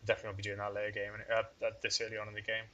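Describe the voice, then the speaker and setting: A man's voice: fairly low pitch, completely flat delivery, rapid-fire, bright, forceful tone, muffled recording. A man hosting a strategy game let's play, rambling and thinking aloud in a casual, self-deprecating way. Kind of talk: casual gameplay commentary.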